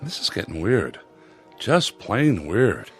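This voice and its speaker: An actor playing a plain-talking, wry short-order cook in a radio play. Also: male voice, lowish voice, very animated, slow, a bit bright, full character voice. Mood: uneasy. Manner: folksy, wry. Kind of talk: dramatic radio play acting. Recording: radio play with music underneath.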